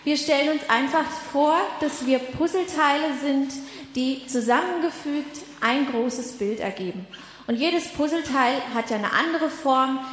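A nineteen-year-old woman, a Bible school student, calmly explains the group's motto in a prepared talk. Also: sits high, unhurried, slight background noise. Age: late teens. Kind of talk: prepared presentation. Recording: echoey hall.

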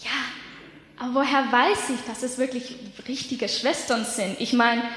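Hesitant student announcer, high, heard far off in a reverberant hall. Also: female voice, steady tone, measured pace, fairly bright tone. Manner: hesitant, self-correcting. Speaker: Bible school student. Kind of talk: introduction and event announcement.

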